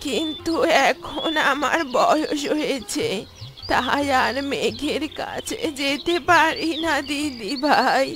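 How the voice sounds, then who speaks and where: A kindly old grandmother bird, voiced by a woman for a children's cartoon. She speaks slowly and gently in a high, doting voice, with music underneath. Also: animated. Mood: gentle, explaining. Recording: music behind the voice.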